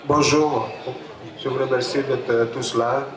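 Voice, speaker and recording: A native Greek man with a Greek accent giving a public talk, halting and repeating his phrases. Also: mid-range voice, fairly even intonation. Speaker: photographer and panel speaker. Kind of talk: public talk at a book fair, introducing a debate. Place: busy book fair stand. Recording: echoey, distant mic, crowd noise.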